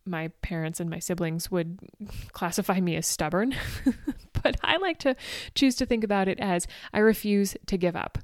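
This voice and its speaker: A woman's voice: medium pitch, normal speed, dry recording, clean studio sound. A woman in her forties hosting a motivational podcast, talking chattily and upbeat to her listeners, laughing often. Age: 40s. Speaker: podcast host. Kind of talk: motivational solo podcast talk.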